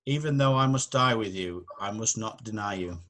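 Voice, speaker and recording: A woman's voice: rumbling low, moderate pace, fairly bright tone. A woman playing a loyal, insistent male disciple, speaking earnestly and emphatically in a dramatised Bible reading.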